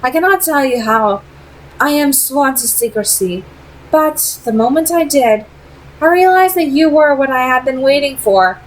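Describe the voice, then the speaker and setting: A woman's voice: high-pitched, lively intonation, voice pushed up, rough recording. A woman voicing a proud, secretive female vampire who leads a clan and speaks formally and persuasively in a read-aloud story. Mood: earnest, urgent. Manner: formal, deliberate, dramatic phrasing.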